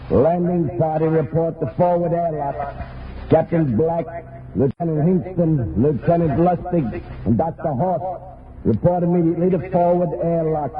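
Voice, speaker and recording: An actor playing a crisp military spaceship officer in a radio drama, calling out clipped, formal orders. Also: male voice, a little high, unhurried, lightly acted. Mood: formal announcing. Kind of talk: old-time radio drama acting. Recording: tinny narrow-band recording, loud engine noise.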